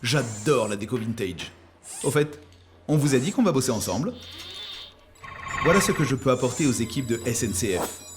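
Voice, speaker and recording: Man playing an animated, helpful cartoon software mascot. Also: medium pitch, normal speed, long pauses, over music, close to an everyday voice. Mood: eager, helpful. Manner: upbeat, presentational. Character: eager, helpful, self-assured four-armed male software mascot. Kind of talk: scripted explainer in an educational cartoon.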